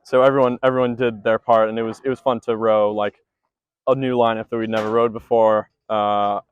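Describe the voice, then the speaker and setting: A man's voice: on the low side, flat delivery, a little fast, smooth, highs cut off. A teenage male rower giving a casual post-race interview, talking loosely with many fillers and restarts. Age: teens. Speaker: junior rower.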